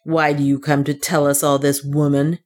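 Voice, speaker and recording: A woman voicing a weary, stern gentleman adventurer in an audiobook, in a formal, plain-spoken way. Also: low voice, level tone, moderate pace, close to an everyday voice. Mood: angry, scornful. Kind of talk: audiobook character dialogue.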